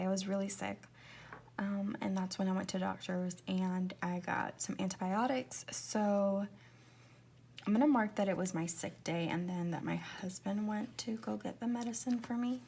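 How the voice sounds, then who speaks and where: A woman in her twenties chatting casually in a rambling, confiding way, laughing often and slipping into soft whispers as she talks through decorating her planner. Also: mid-range voice, vocal fry, a little hiss or hum. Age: 20s. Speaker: planner vlogger.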